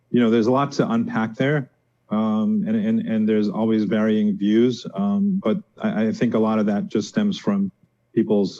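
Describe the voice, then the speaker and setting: A man raised in the United States talks as a guest on a show, thoughtful and hesitant, pausing with fillers. His voice is low and mellow. The recording sounds dull and lo-fi. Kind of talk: casual interview talk.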